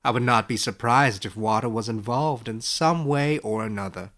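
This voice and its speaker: A man playing a skeptical but kindly professor in a storybook, with a dry, measured, gently lecturing manner. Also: medium pitch, lightly acted. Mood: amused, wry. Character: wry, skeptical, kindly male professor, unhurried and quick-witted. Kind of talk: storybook character voice in audiobook narration.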